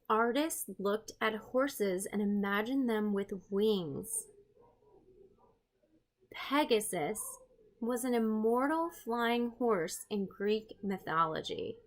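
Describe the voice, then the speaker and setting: A woman hosting an art read-aloud, expressive and playful, with chatty, self-deprecating asides to her viewers. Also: fairly high pitch. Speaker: host, art teacher reading a picture book aloud.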